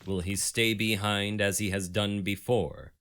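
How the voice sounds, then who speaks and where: Slow, measured male narrator. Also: fairly low pitch, steady tone, background noise. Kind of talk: scripted dramatic story narration.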